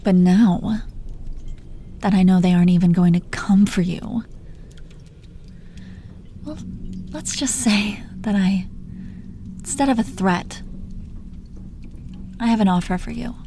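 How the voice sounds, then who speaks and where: A woman playing a menacing yet tender, possessive supervillain in an intimate audio roleplay, speaking in a sultry, deliberate way with pet names for the listener. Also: medium pitch, warm tone, a little hiss or hum, lightly acted. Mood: seductive offer.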